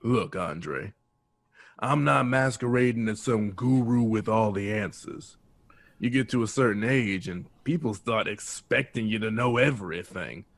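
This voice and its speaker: An actor playing a wise, blunt old man with a deliberate, oratorical manner in an audio drama. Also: fairly low pitch. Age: older.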